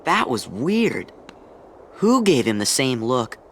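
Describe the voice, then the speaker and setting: A man's voice: mid-range voice, sing-song, slow pace, gravelly, a bit bright, a little hiss or hum, full character voice. An actor playing a brash, hot-headed teenage boy hero in a video game, blunt and defiant. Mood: puzzled. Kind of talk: video game cutscene acting.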